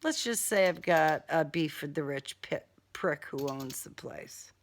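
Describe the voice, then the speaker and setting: A woman's voice: low voice, lively intonation, a little slow, slight background noise, pitched below the performer's own voice. A woman voicing a tough, sarcastic young female cat burglar in an audiobook, slangy and defiant.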